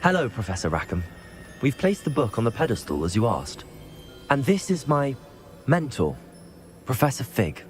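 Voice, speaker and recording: A man's voice: medium pitch, big pitch swings, normal speed, long pauses, faint music behind, lightly acted. An actor playing an earnest young male wizard student in a video game, speaking politely and eagerly. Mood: respectful. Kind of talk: cinematic video game acting.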